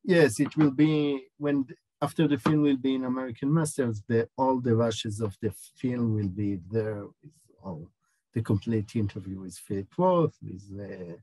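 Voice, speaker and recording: A middle-aged man with an Israeli accent, a film director answering questions over a video call, halting and searching for words. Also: mid-range voice, dark tone, husky, dry recording, low-quality recording. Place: small room indoors.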